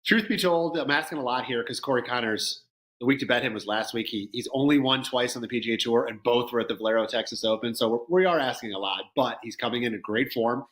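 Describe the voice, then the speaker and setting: A man's voice: medium pitch, quick pace, fairly bright tone. A man earnestly breaking down golf betting odds in casual chat, often restarting his sentences.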